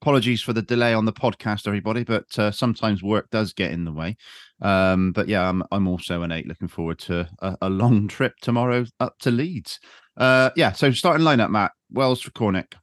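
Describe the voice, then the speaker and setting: A man with a lowish voice hosts a football podcast. He steers the conversation and reads out the match minutes. The recording is dry and close. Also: fairly quick, no room sound. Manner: leads the talk, reads match events aloud. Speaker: podcast host. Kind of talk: casual football podcast hosting.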